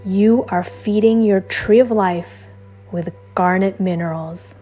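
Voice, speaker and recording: A woman narrating a scripted guided meditation in a measured, soothing, instructional way. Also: medium pitch. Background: soft background music.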